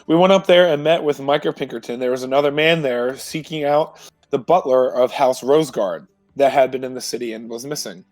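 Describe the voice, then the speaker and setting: A man tells the story so far of a tabletop roleplay game in an informal, halting way, backing up to correct himself. His mid-pitched voice sits close and dry. Music plays softly behind him. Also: no room sound. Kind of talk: informal recap of a previous game session.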